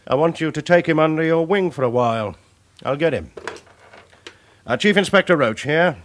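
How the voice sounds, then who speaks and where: An actor voices a brisk male chief inspector in a British radio drama, clipped and authoritative. His voice sits a little high and swings widely in pitch. His orders come out short and firm. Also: lively intonation, a little hiss or hum, natural voice. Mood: commanding. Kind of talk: 1980s radio drama acting.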